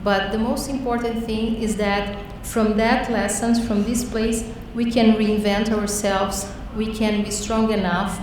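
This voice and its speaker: A woman telling her own story on a panel, slow and earnest, with the odd filler. Her voice sits at a middle pitch. The sound carries from far off through a long-echoing hall, with clear background noise. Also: little pitch movement, a little mellow. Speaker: panel speaker. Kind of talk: personal story talk.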